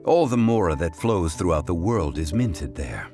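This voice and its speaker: Deep-voiced, dignified learned gentleman. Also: very animated, music in the background. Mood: scholarly. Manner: formal, measured, lecturing. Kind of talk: video game cutscene voice acting.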